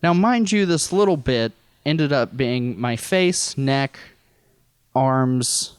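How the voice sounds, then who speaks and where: A man's voice: mid-range voice, lively intonation, slow, clear, resonant tone, no room sound. An American man from rural Missouri telling a personal story casually as a podcast guest, in a wry, self-deprecating, profane way. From rural Missouri, United States.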